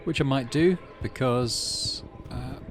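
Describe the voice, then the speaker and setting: A man doing casual, low-key game commentary and reading story text aloud as he plays. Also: slow. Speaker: gaming channel host. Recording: music behind the voice. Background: game music.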